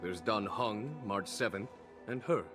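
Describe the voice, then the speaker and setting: An actor playing a calm, courteous general and mentor in a video game, speaking formally. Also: male voice, fairly low pitch, unhurried, music in the background, natural voice.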